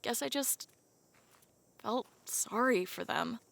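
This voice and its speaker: A woman's voice: a little high, expressive, takes it slowly, vocal fry, fairly bright tone, dry recording, exaggerated voice. A woman voicing a guarded young half-genie woman in an audio drama, with dry, sarcastic banter. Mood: wry. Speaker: voice actor. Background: faint background music.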